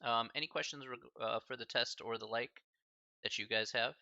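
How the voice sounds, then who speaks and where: Chatty math instructor, bright dry voice. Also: male voice, mid-range voice, fairly even intonation, moderate pace, gravelly, no echo, dull audio. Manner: casual, chatty, filler-heavy with slang asides. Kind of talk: live-streamed class lecture.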